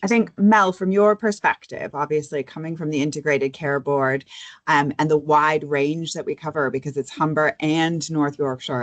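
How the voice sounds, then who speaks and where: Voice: mid-range voice, no room sound. A podcast host who moderates the interview, asking long, rambling questions and laughing often in a friendly way.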